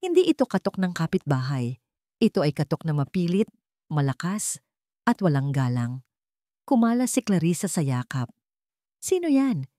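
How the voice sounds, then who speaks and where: A woman's voice: low voice, very animated, moderate pace, pauses often, mellow, no echo, clean studio sound. A woman reading scripted story narration in a dramatic storytelling style.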